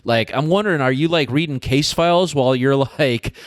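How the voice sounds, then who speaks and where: A man's voice: mid-range voice, brisk, no echo, clean studio sound. A man hosting a podcast, asking meandering questions in a casual, joking and sometimes crude way. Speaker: podcast host. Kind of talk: casual interview banter.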